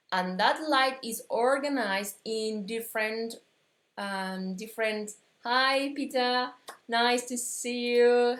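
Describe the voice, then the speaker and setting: Slow Argentine woman teaching in an echoey room. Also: fairly high pitch. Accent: Argentine Spanish. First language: Spanish. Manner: halting, searching for words, many restarts. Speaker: metaphysics teacher. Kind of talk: live-streamed spiritual lesson.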